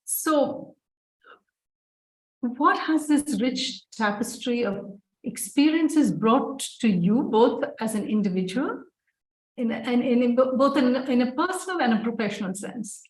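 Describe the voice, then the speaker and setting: A woman with a high voice leads an interview, asking questions and offering frequent encouraging affirmations. Her voice echoes around a roomy space. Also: lively intonation, unhurried. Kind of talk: interview conversation. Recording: roomy echoing sound.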